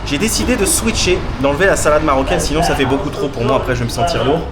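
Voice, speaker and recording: A man's voice: fairly high pitch, fast. A man chatting casually to the camera in a travel vlog, enthusiastic and full of fillers, at a busy local eatery. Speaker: travel vlogger. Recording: street crowd noise behind.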